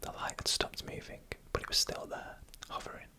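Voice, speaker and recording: This is an ASMR narrator telling a scripted story entirely in a whisper. The voice is breathy and bright, with sharp, airy consonants. Phrases come out slowly and deliberately, with small pauses between them.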